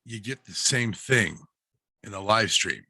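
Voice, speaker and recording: A man with a low, forceful, raspy-edged voice chats informally on a video call. He tells personal anecdotes with animated, slangy phrasing. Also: a little slow, no room sound. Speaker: guest, barber and livestreamer. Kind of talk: casual personal anecdotes in a group video chat. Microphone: headset on a video call.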